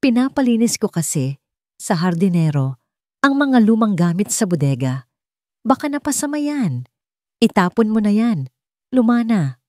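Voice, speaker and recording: A woman's voice: medium pitch, sing-song, moderate pace, long pauses, darkish tone, no echo, studio quality, pitched above the performer's own voice, natural voice. A woman voicing a scheming, venomous wealthy wife in an audio drama, switching between fake sweetness and menace. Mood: falsely cheerful. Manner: fake cheer turning to hissed threats.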